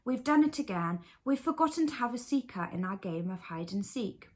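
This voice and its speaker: A woman's voice: medium pitch, expressive, brisk, darkish tone, close to an everyday voice. A woman voicing an earnest, sensible male teddy bear who saddens easily, in a bedtime storybook. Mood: miserable.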